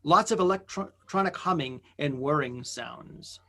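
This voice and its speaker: A man's voice: fairly high pitch, moderate pace, pushed and bright. A man acting as announcer, reading scene and sound directions for a radio drama. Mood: matter-of-fact. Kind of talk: scripted narration.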